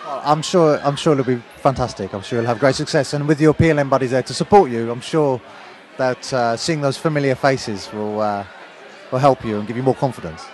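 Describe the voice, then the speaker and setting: A man's voice: medium pitch, a little fast. A man interviewing attendees at a teaching conference, asking polite, encouraging questions. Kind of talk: conference vox-pop interview. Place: busy conference venue.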